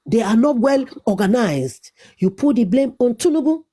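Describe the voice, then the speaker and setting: A woman's voice: fairly high pitch, very animated, normal speed, mellow. A Nigerian woman arguing insistently in a heated debate, repeating herself and talking over interruptions.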